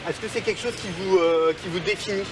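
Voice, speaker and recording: Lively male science presenter over music. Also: high voice, fast, rough recording. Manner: lively, explanatory, playful. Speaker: neuroscientist presenter. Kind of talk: narration and street interviews.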